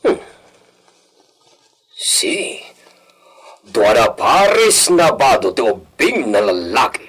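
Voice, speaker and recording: Man acting a slow, brooding household master. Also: medium pitch, sing-song, a bit bright, husky, exaggerated voice. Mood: curious. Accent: Ilocano. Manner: deliberate, commanding. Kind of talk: Ilocano radio drama acting.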